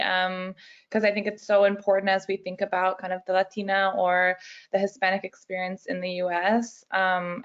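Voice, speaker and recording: Female moderator, upbeat, level monotone delivery, lo-fi call audio. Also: medium pitch. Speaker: panel moderator. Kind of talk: panel discussion.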